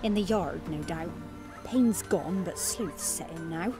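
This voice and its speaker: An actress playing a gossipy, complaining village wife in a fantasy game, with a rustic manner and a British accent. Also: female voice, on the low side, takes it slowly, over music. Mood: grumbling, chatty. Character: nagging, gossipy peasant wife. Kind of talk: video game voice acting.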